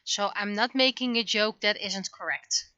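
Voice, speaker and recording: A woman's voice: mid-range voice, moderate pace, bright, forceful tone, clear, resonant tone, dull audio. A woman chatting casually on a livestream while she works on her art, rambling and thinking aloud. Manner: rambling, thinking aloud, acts out remembered exchanges. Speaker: livestreamer, webcomic artist.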